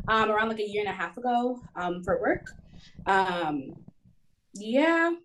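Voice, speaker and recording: A woman's voice: medium pitch, big pitch swings, measured pace, fairly bright tone, slight background noise, low-quality recording. A woman in her twenties, from Florida, talking casually and hesitantly with many fillers over a video call. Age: 20s. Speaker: guest. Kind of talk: casual chat.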